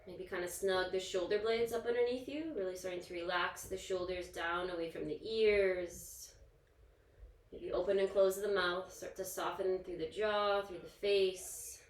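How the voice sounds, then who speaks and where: A woman yoga instructor leading a guided relaxation in a soothing, gentle, informal manner, leaving pauses between instructions. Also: on the low side, steady tone. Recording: echoey, roomy sound.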